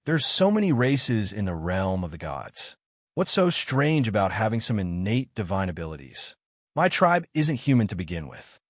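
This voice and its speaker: A man voicing a young slave girl of a nonhuman clan in a fantasy audiobook, formal, deferential and quietly confident. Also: lowish voice, very animated, moderate pace, no room sound, studio quality. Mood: deferential, explaining.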